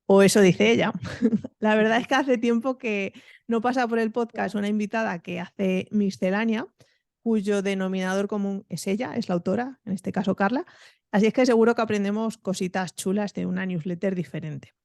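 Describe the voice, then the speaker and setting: A woman's voice: medium pitch, fairly even intonation, moderate pace, creaky, darkish tone, dry recording, clean studio sound. A Spanish woman hosting a podcast, friendly and upbeat, laughing easily as she introduces and interviews. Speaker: podcast host.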